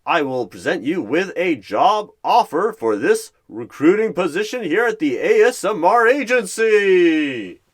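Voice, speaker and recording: A man playing a boastful, over-excited job recruiter who announces interview lines like a game show host in a roleplay. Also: high voice, a little slow, few pauses, voice pushed up, full character voice. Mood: gleeful announcing.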